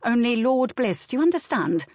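A woman voicing a motherly, brisk older servant woman with old-fashioned rustic speech. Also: mid-range voice, no echo, studio quality, close to an everyday voice. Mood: sharp.

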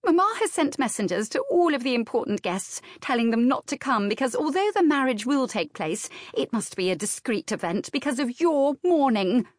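A spiteful young bride, played by a woman narrator, self-centred and indignant. The voice is lifted high and insistent, recorded dry for a historical audiobook. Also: very animated, normal speed, hardly any pauses, dry recording. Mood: wailing, indignant.

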